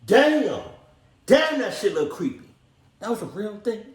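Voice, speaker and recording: A man's voice: high-pitched, very animated, measured pace, echoey room, slight background noise. A man giving casual, blunt, off-the-cuff reactions as a video host, with swearing exclamations.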